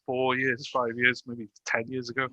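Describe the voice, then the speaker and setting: A man's voice: medium pitch, monotone, moderate pace, sharp tone, no echo, lo-fi sound. A man with a Welsh accent hosting a podcast in a chatty, joking way, laughing often. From Wales. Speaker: podcast host. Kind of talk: casual podcast chat.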